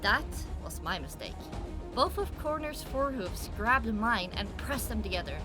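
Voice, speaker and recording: A woman with a fairly high voice narrates an audiobook. Her reading is scripted, first-person and dramatic, and music plays softly behind her. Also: very animated, normal speed. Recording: music under the voice.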